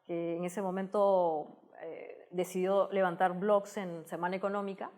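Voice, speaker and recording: A woman's voice: fairly low pitch, flat delivery, measured pace, a little mellow. A woman with a Peruvian accent answering interview questions at length, thoughtful, often restarting and adding tag questions. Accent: Peruvian Spanish. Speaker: guest, tech communicator.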